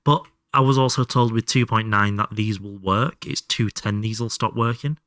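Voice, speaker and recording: A man's voice: on the low side, moderate pace, a bit bright, no room sound, clean studio sound. A man doing casual live game commentary and chatting to viewers, sweary and self-deprecating. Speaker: live streamer playing a video game.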